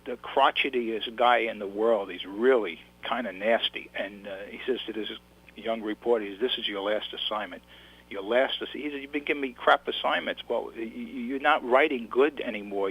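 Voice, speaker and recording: A man's voice: medium pitch, fairly bright tone, husky, poor audio. A man with a New York accent, an author telling his story in a casual interview, rambling and restarting his sentences. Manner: rambling, repeats words and restarts.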